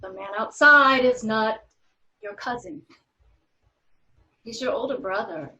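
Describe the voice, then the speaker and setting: A woman reading the part of a stern, formal law office official in a script table read, speaking deliberately with long pauses. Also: on the high side, sharp tone, highs cut off, heavily acted. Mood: grave, firm.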